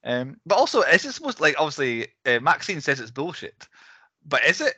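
A man hosting a casual film discussion, talking in a rambling way with animated asides. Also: fairly high pitch, brisk, husky, dry recording. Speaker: podcast host.

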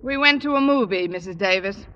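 A woman playing a dry-witted schoolteacher who narrates and trades deadpan wisecracks in a 1950s radio sitcom. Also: medium pitch, brisk. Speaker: English teacher and narrator. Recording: dull old radio broadcast recording.

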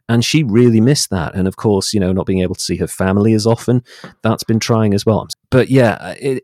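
A British man giving articulate, measured, reflective answers in a conversational interview. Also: fairly low pitch, darkish tone, dry recording, clean studio sound. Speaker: interview guest, voice-over artist and writer.

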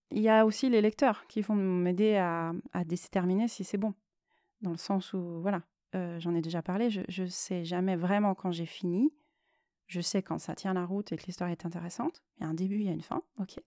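A woman with a fairly low, mellow voice talks alone as a podcast host. She explains her thoughts reflectively and without a script, rewording herself along the way. The sound is very dry, with no room around the voice. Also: no room sound. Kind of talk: unscripted solo podcast monologue.

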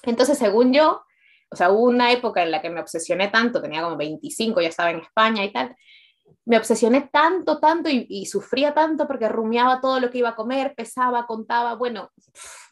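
A woman in her late twenties speaks with a Peruvian accent in a fairly high voice. She is an interview guest telling her own story in a candid, conversational way. She repeats words for emphasis and often quotes her own thoughts. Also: lively intonation, a little fast. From Peru.

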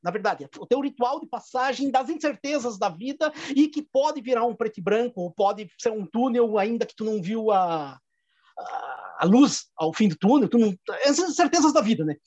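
A man's voice: very high-pitched, animated, moderate pace, a little mellow, no room sound. A man with a Rio Grande do Sul accent, a filmmaker telling stories in a casual interview, with many restarts and laughs. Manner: rambling anecdotes with frequent restarts and laughs. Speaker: filmmaker guest.

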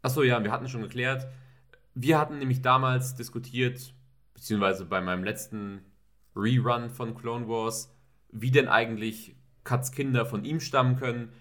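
A man's voice: mid-range voice, steady tone, normal speed, fairly bright tone. A man co-hosting a podcast, recounting scenes in detail in a casual chat. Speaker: podcast co-host. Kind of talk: casual episode discussion.

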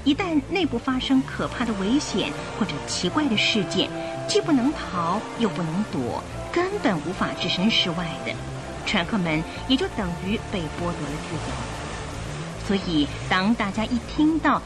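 A woman narrating a radio drama in an expressive, suspenseful storytelling style. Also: mid-range voice, normal speed. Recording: music bed behind the voice. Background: music.

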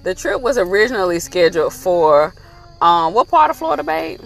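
A woman's voice: medium pitch, sing-song, fairly quick, vocal fry, low-quality recording. A woman in her thirties chatting casually for a travel vlog from inside a moving car, laughing often. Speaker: vlogger.

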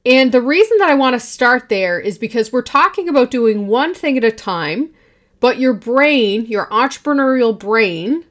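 A woman in her forties hosting a solo podcast, talking in a confident, projecting and emphatic way. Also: mid-range voice. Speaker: podcast host.

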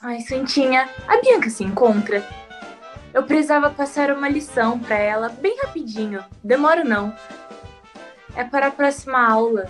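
A chatty, brisk female teacher acted by a woman in a comic radio soap. Her voice is high, with faint music playing underneath. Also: natural voice. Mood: hurried, polite.

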